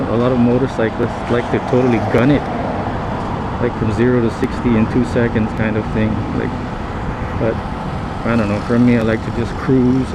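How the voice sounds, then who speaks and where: A man from the Philippines, a native Tagalog speaker, rambles casually and reflectively in halting phrases as a moto vlogger riding with a lav mic on his helmet. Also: medium pitch. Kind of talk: casual rambling vlog monologue. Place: outdoors, riding a motorcycle in traffic. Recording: helmet lav mic, loud traffic noise. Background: motorbike engine and road traffic noise.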